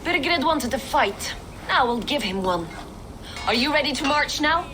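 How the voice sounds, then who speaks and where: Battle-ready warrior woman, high pitch, direct, challenging, game cutscene, noisy ambience. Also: animated, unhurried. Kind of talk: video game cutscene acting. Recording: clear ambient noise behind the voice.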